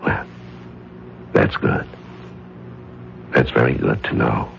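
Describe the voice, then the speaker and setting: A man playing a calm, cold-blooded middle-aged judge who secretly kills, in a 1970s radio drama, smooth and coolly patronizing. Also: a bass voice, takes it slowly, slight background noise, heavily acted. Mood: soothing, reasoning. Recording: dull old radio broadcast with music.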